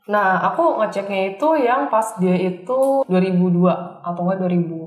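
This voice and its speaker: A woman's voice: medium pitch, normal speed, phone-quality sound. A woman with an Indonesian accent explaining things in casual conversation as a psychic reader on a talk show, informally mixing in English words. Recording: roomy, echoing voice recording.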